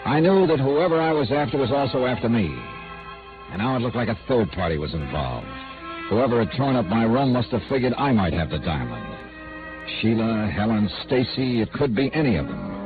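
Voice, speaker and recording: An actor playing a dry, weary, hard-boiled investigator in 1950s radio noir style, wry and terse. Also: male voice, medium pitch, big pitch swings, brisk. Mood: tense, weary narration. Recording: old narrow-band radio recording with music.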